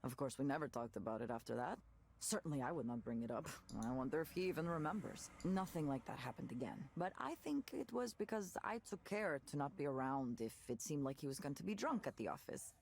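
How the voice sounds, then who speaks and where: A woman's voice: extremely low pitch, lively intonation, brisk, gravelly, a little mellow, breathy edge, exaggerated voice. A woman playing a candid, world-weary former tech worker in a visual novel, speaking in a wry, reflective way. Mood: wry, uneasy. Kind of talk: naturalistic visual novel voice acting.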